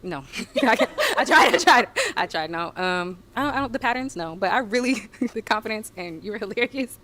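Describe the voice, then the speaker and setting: A woman in her twenties, a dating show contestant, talking in halting, giggly, teasing bursts. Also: mid-range voice, animated, fairly bright tone. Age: 20s.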